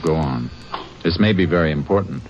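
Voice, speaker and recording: An actor playing a steady, businesslike male Texas Ranger who narrates and questions witnesses in a 1950s radio drama. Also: extremely low pitch, a little fast, a little hiss or hum. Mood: probing. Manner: clipped, matter-of-fact questioning. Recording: dull old radio broadcast.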